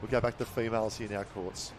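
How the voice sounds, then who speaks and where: A man calling live beach sports as play-by-play commentator, excitable and quick to react to the action. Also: fairly low pitch, background noise. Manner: excitable, calls the action in bursts.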